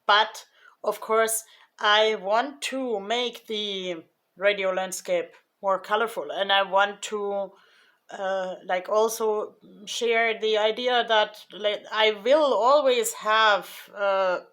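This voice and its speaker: Slow, hesitant woman vlogger. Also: mid-range voice, steady tone, a bit bright. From Germany. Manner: hesitant, many fillers and restarts; slow, thoughtful delivery. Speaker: YouTuber. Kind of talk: casual vlog recap.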